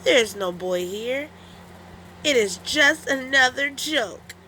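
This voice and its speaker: A woman's voice: sits high, animated, slight background noise, voice pushed up, heavily acted, rough recording. A woman voicing a young, mischievous male wolf in a children's storybook. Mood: gleeful teasing. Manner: loud drawn-out cries for help. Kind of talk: children's storybook read-aloud.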